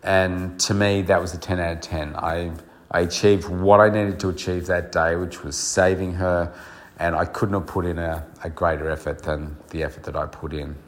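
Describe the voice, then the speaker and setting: A man's voice: deep voice, flat delivery, normal speed. A middle-aged man hosting a solo podcast, speaking earnestly and reflectively with many fillers, his voice nasal and congested from a cold. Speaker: podcast host and coach.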